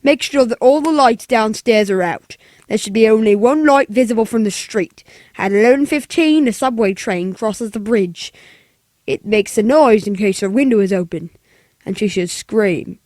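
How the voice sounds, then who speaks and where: A woman voicing a calm, businesslike male hired killer in a 1940s-style radio play, with a flat, matter-of-fact delivery. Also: on the high side, normal speed, no echo, natural voice. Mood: cold, calm.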